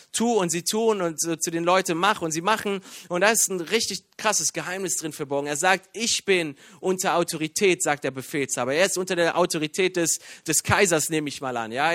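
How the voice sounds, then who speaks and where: A man from Iran preaching a sermon in a lively, joking way, with many fillers and restarts. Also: high voice, no room sound. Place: church.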